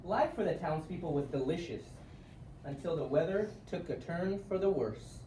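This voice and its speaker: A man reading a children's storybook aloud in an expressive way with emphatic word stress, recorded at a distance in a room. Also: fairly high pitch, moderate pace. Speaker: storybook reader. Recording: roomy, distant mic with faint noise.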